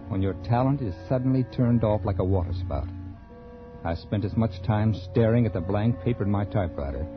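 A man's voice: lowish voice, lightly acted. An American man playing a bitter, self-absorbed mystery writer in a 1940s radio drama, clipped and condescending. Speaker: actor in the lead role. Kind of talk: 1940s radio drama acting and first-person narration. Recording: dull vintage radio recording with music.

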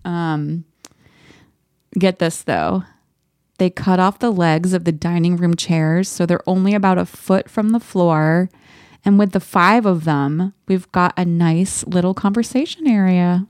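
A woman's voice: lowish voice, measured pace, a little mellow, no echo. Playful woman podcaster, dry close sound. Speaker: podcast co-host. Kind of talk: casual chat with dramatic diary readings.

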